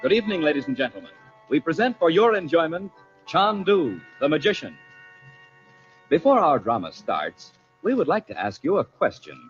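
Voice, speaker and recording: Man, old-time radio announcer, polished sponsor delivery, lively pitch swings, lo-fi vintage sound. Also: moderate pace, faint music behind. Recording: dull vintage radio broadcast recording.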